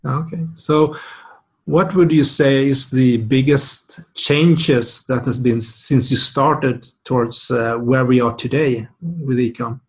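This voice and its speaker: A man's voice: mid-range voice, takes it slowly. A man hosting an interview, asking questions with frequent uhs and restarts. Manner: hesitant questioning with many uhs. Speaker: interview host.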